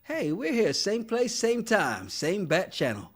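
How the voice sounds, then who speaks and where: A man's voice: high-pitched, huge pitch swings, measured pace, slightly rough. A man co-hosting a casual podcast, chatting loosely, reading viewer comments aloud, laughing often and repeating words. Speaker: podcast co-host. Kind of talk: casual chat reading live chat.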